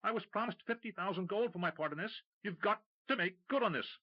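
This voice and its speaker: A man's voice: high voice, quick pace, lo-fi sound, pitched above the performer's own voice. A man voicing a panicky, desperate male accountant who pleads near-hysterically. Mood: frantic pleading.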